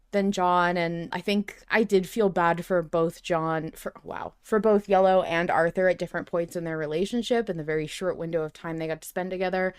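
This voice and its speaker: A woman's voice: fairly low pitch, clear, resonant tone. A woman co-hosting a casual fan podcast, wry and teasing, with exclamatory reactions and casual swearing. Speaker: podcast co-host.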